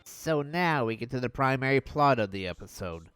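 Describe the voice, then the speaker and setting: A young man reviewing an old cartoon in a sarcastic, mocking voice-over with deadpan jabs. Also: medium pitch, normal speed, clear, resonant tone, dry recording, soft background music.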